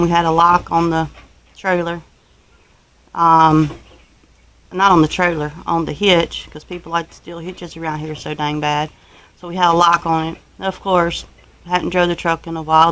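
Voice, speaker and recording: A woman with a Southern US accent telling a story casually, in a folksy, unhurried, colloquial way, as a homestead vlogger. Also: on the low side, fairly bright tone, rough recording. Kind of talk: casual first-person storytelling.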